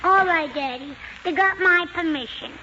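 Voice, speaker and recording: A woman's voice: very high-pitched, moderate pace. An actress playing a mischievous, cheeky little girl in 1940s radio comedy, childish and full of contrary back-talk. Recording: muffled, noisy 1940s radio recording.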